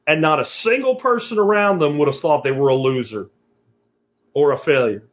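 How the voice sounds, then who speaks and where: A man's voice: a little high, normal speed, muffled recording. A man in his fifties giving a blunt, profane motivational talk as a podcast host, lecturing his listeners. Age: 50s.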